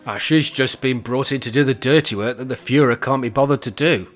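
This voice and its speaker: Radio play role: older English male resident, sly and dryly jokey, medium-pitched man performing. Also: a little fast, soft background music, close to an everyday voice. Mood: cocky, conspiratorial. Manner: jokey, mock-grand turns of phrase. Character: wry, scheming older man, a care home resident. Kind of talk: amateur radio play acting.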